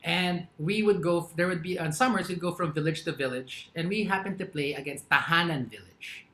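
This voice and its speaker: A man's voice: on the high side, brisk, a little hiss or hum. A man telling stories on a casual podcast. His laugh keeps breaking in, and the room around him is roomy and echoey. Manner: animated storyteller, laughs often. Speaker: podcast co-host. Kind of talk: casual storytelling chat.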